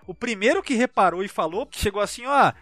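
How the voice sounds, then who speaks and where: High-voiced, enthusiastic Brazilian man chatting. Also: lively intonation, fast talker, a bit bright, dry recording. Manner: enthusiastic, slangy, swearing. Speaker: podcast panelist. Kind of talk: casual geek chat.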